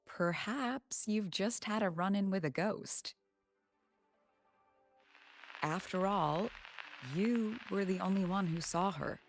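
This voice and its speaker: An actress playing a composed, authoritative professor in a video game, speaking in a measured, courteous way. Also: female voice, medium pitch. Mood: thoughtful. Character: composed, authoritative female professor and academy president. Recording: music under the voice.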